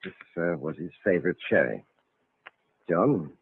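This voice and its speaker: An actor playing a solemn, suspicious estate lawyer with a formal, stiffly courteous manner in an old radio drama. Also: male voice, sits low, animated, unhurried, husky, no echo, heavily acted. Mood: ceremonious. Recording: vintage radio recording, narrow phone-like band.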